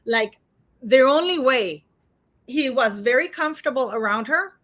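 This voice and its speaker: A woman with a Montenegrin accent hosting a live stream, chatty and excitable, with lots of fillers and restarts. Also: high-pitched, unhurried. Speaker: host. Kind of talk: casual live-stream chat.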